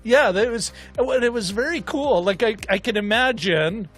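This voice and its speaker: A man co-hosting a film discussion show, chatting casually in a rambling, animated way as he thinks aloud. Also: very high-pitched, normal speed, soft background music. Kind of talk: casual chat.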